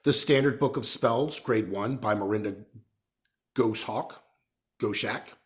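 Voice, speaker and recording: A man's voice: lowish voice, a little slow. A man reading a children's novel aloud as a narrator. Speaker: story reader.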